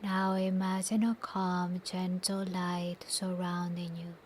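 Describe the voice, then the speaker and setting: Soft, very slow woman guiding meditation. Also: lowish voice, little pitch movement, slight background noise. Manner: soft, breathy, near-whispered, soothing delivery with long pauses. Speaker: guided meditation instructor. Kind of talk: guided meditation, slow scripted instruction. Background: soft nature ambience.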